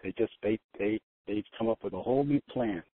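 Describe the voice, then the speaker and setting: An older man talking in a rambling way on a conference call, halting and often repeating his words as he restarts. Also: fairly low pitch, slightly rough, no echo. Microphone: phone conference call. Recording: narrow tinny phone line.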